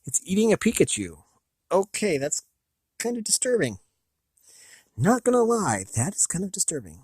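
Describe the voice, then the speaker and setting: An American man hosting a casual, unscripted review, thinking aloud in a halting, rambling way with a slightly raspy voice. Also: high-pitched, huge pitch swings, a little mellow, dry recording. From United States. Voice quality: slightly raspy from long talking. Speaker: video host.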